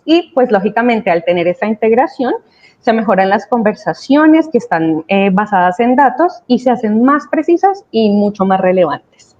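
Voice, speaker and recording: A woman's voice: a little high, animated, normal speed, darkish tone. A woman giving a conversational company presentation, explaining things with emphasis. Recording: dull lo-fi video-call audio.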